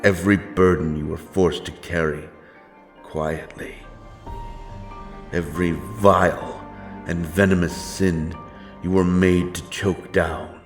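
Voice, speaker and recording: A grim, righteous holy wanderer from a dark fantasy tale, acted by a man, speaks in a deep voice. The delivery is slow, solemn and archaic, with deliberate dramatic weight. Faint music plays behind the words. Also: steady tone. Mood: commanding, vengeful. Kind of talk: dark fantasy audio roleplay.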